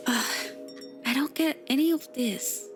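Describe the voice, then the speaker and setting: A woman plays a grumpy guild master who scoffs at everything with dry sarcasm. Her voice is very high but drawls out slowly, and clear music plays behind her. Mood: sarcastic. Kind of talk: scripted voice drama. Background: background music.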